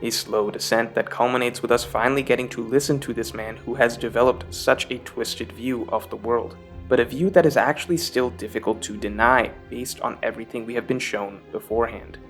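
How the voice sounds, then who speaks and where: A man's voice: mid-range voice, fairly even intonation, fairly quick. Man narrating analytically over guitar music. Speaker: video essay narrator.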